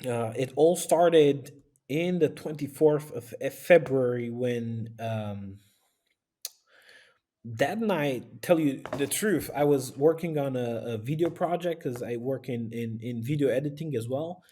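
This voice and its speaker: A man with a Romanian accent answers interview questions in a casual, conversational way. His voice sits at a medium pitch, and his phrasing is halting, with pauses as he looks for words. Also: dark tone. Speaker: interview guest, youth pastor and aid volunteer.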